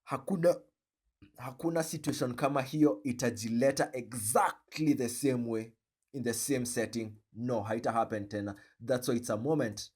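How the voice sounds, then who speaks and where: A man with a Kenyan accent hosting a reaction video, commenting in an animated, joking way and switching between slang and English. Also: mid-range voice, normal speed, husky. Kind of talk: commentary on a played clip.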